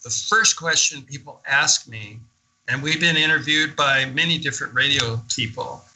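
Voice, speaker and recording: A man's voice: medium pitch, moderate pace, bright, forceful tone, a little hiss or hum, poor audio. An older man talking as a guest in a radio interview, telling of his experiences in an enthusiastic, emphatic, conversational way.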